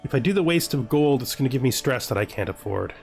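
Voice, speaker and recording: A man hosts a casual strategy game playthrough in a medium-pitched voice. He thinks aloud through his options, often checking himself with a short questioning tag. Music plays behind him. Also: animated, fast, vocal fry, darkish tone. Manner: talks through decisions to himself, often asks "right?". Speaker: gaming video host playing a strategy game. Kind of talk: casual let's-play commentary, thinking aloud.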